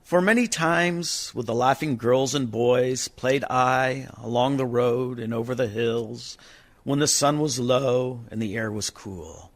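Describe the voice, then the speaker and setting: A man acting a wistful dead villager dreaming of his youth, in a reflective, lyrical radio monologue. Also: medium pitch. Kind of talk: dramatic verse monologue for radio.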